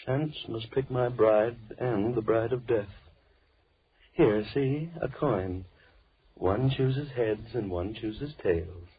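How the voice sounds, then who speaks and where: An actor playing a deranged, lonely killer who speaks with mock politeness and menace in old radio horror style. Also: male voice, medium pitch, breathy edge. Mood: controlled irritation. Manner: theatrical, mock-polite, slow musing monologue. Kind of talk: 1940s radio horror acting. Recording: muffled, tinny old radio recording.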